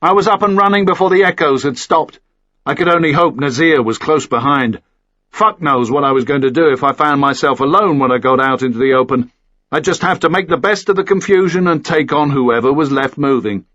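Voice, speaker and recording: Man, higher-than-average pitch, scripted thriller narration, muffled recording. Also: a bit bright, dry recording. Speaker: audiobook narrator.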